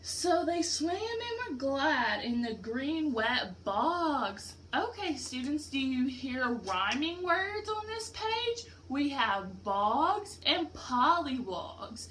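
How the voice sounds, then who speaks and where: High-pitched, slow-paced woman reading to children. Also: big pitch swings, a bit bright, a little hiss or hum. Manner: instructive, simple explanations with questions to pupils. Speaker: teacher reading aloud to a class. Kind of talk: interactive children's picture-book read-aloud.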